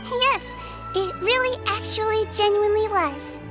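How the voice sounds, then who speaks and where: A woman voicing a small, gentle, earnest nurse creature in a video game, with a sweet, childlike voice. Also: extremely high pitch, a little slow, loud music behind the voice. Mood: playful assurance. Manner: polite, earnest, caring. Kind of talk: animated video game voice acting.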